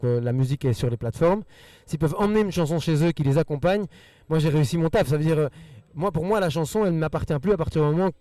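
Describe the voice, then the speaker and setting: A man with a Belgian French accent whose first language is Spanish, earnestly answering interview questions in quick rushes with repeated words. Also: a little high, dark tone, no echo, distant mic. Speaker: musician guest.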